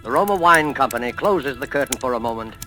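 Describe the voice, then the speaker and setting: Bright, forceful drama announcer. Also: male voice, medium pitch, lively intonation, quick pace. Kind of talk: dramatic scripted 1940s radio announcing. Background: music.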